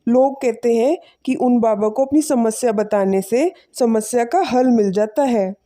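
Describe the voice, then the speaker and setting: Woman playing a mellow, humble poor village father. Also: fairly high pitch, unhurried, close to an everyday voice. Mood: humble, earnest. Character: poor, weary, kind village father and farmer. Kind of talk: animated moral story dialogue.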